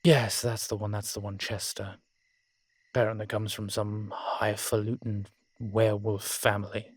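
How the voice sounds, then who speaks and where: A man's voice: lowish voice, little pitch movement, normal speed, breathy edge, no room sound, studio quality. A man playing an ancient, proud and world-weary male vampire in an audio roleplay, talking in a wry, haughty way with old-fashioned fancy words. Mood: musing, distracted, then wry, defensive. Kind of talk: audio roleplay drama, one-sided dialogue.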